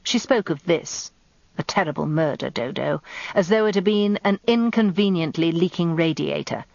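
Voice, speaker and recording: Observant wry lawyer's wife, English accent, confiding radio drama narration, dry close sound, slightly dull recording. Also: female voice, fairly low pitch, expressive, normal speed, vocal fry, darkish tone, dry recording. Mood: wry, musing.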